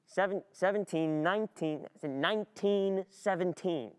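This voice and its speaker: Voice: mid-range voice, moderate pace, clean tone, close to an everyday voice. A performer playing an overwhelmed, distracted student in a dramatic monologue, anxious and frustrated, agitated and repetitive, with thoughts spilling out. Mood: obsessively repeating. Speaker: performer in a radio play monologue. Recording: reverberant hall.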